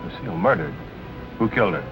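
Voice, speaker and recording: An actor playing a brooding, suspicious boyfriend in a 1940s film noir. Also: male voice, medium pitch, huge pitch swings, fast, gravelly, exaggerated voice. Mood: concerned, probing. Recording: muffled 1940s film soundtrack with music.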